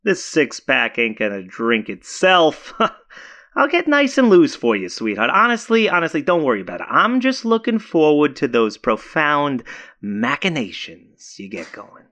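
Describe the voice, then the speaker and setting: An actor playing an eager-to-please, easily flustered young boyfriend in an audio drama, talking casually and stumbling over repeats. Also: male voice, a little high, big pitch swings, measured pace, bright, forceful tone, exaggerated voice. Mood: teasing, affectionate. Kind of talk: naturalistic found-footage audio drama acting.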